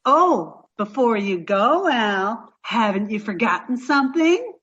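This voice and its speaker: High animated voice, woman acting adoring ditzy girlfriend, naive earnest manner, 1940s radio comedy, slightly dull sound. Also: slow pace, heavily acted. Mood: eager. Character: sweet, scatterbrained, adoring young girlfriend.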